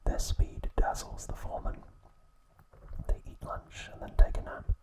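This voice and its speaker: A man narrating scripted ASMR in a breathy, unvoiced whisper, with a measured, soothing delivery. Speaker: ASMR channel host.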